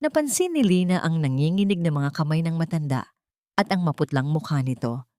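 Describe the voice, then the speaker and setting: A woman narrating a scripted dramatic audio story in a calm, measured, solemn way. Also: low voice, dry recording, studio quality.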